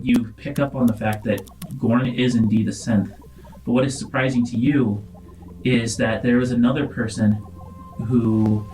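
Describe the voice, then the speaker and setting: A man speaks as game master in a medium-pitched voice. He lays out scenes to his players in halting, deliberate second-person storytelling, with music faintly underneath. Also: a little mellow, poor audio. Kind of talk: narrating a tabletop roleplaying game.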